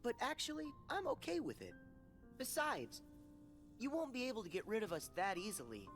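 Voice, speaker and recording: A woman's voice: on the high side, huge pitch swings, moderate pace, faint music behind. A woman playing a kind, hopeful young male cartoon hero in a video game. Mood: reassuring.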